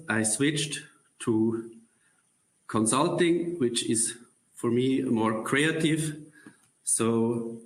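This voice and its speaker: A man presenting a corporate career webcast, halting with many pauses and throat clears. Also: medium pitch, echoey room, poor audio.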